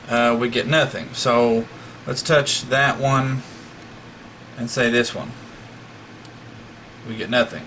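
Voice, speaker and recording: A man's voice: medium pitch, fairly bright tone, a little hiss or hum. A man talking casually through a hands-on electronics repair, explaining step by step in a folksy way. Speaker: hobbyist radio repairer making a demonstration video.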